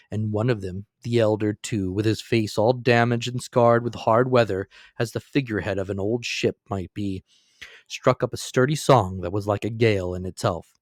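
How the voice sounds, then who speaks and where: A man narrating a classic novel as a dramatic, expressive storytelling reading. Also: on the low side, no room sound, clean studio sound.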